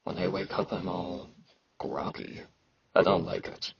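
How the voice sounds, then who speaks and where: A man playing a weary, aloof immortal fantasy knight in an intimate ASMR roleplay, slow and brooding, with a gravelly, husky voice and sibilant S sounds. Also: deep voice, lo-fi sound, noisy background, heavily acted. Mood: casual, aloof. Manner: slow, brooding, casual about grim things.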